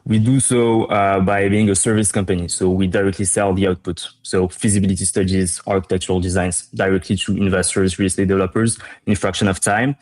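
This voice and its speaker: A man from Morocco with a deep voice and a narrow, steady pitch range. He talks as a company founder in an interview, explaining his business conversationally and repeating words for emphasis. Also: a little fast, hardly any pauses. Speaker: startup co-founder being interviewed.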